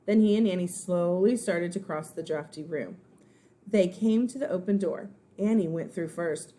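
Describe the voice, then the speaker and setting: A woman, a first grade teacher, narrating a children's storybook in an expressive, suspenseful, dramatic way. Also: fairly low pitch. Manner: suspenseful, dramatic, pauses to explain words.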